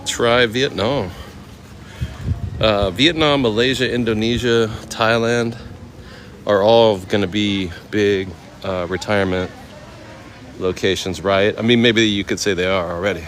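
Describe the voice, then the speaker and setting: A man from Oakland in his thirties, casually chatting with viewers while walking through a temple courtyard outdoors, easygoing and quick to laugh. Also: fairly low pitch, fairly even intonation, fairly bright tone, slight background noise. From Oakland, California. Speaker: vlogger answering live chat. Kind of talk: casual live-stream walk and chat.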